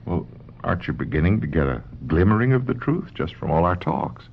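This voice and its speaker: A grave, lovelorn doctor speaks with a deep, creaky voice and measured hesitation. It is radio drama acting, heard through a dull recording with slight hiss. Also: male voice, very animated, exaggerated voice. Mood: patient, guarded. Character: conflicted psychoanalyst, grave, patient and lovelorn. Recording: muffled lo-fi radio drama, faint noise.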